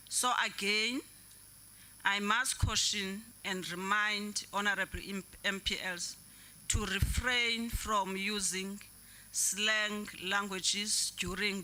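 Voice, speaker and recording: A man presiding over a legislature, measured and halting as he cautions members from a desk microphone in the chamber. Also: sits high, sharp tone, poor audio. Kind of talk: formal parliamentary chairing.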